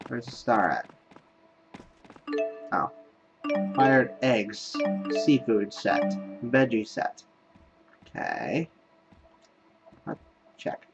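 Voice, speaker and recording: A man's voice: mid-range voice, very animated, extremely slow, gravelly, long pauses, highs cut off, soft background music. A man giving casual, wry, grumbling gameplay commentary as he plays. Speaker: game streamer.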